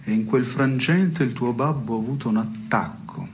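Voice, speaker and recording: An actor playing a dry, probing man in an absurdist family dialogue, speaking in deliberate, mock-formal phrases in a radio stage play. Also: medium pitch, a little mellow, a little hiss or hum, close to an everyday voice.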